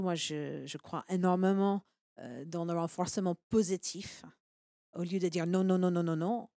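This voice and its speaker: A woman's voice: fairly low pitch, darkish tone, no echo, clean studio sound. A woman in her forties with an American accent, speaking earnestly on a panel discussion with many fillers. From United States. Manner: earnest, emphatic, with frequent fillers.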